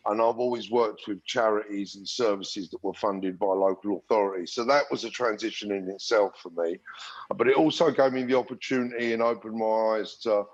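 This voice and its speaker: A man's voice: fairly low pitch, steady tone, fairly quick. A middle-aged man who grew up in Algeria and England, reflectively telling his story in an interview with many restarts. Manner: reflective, frequent restarts and fillers. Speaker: podcast guest. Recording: dull lo-fi sound.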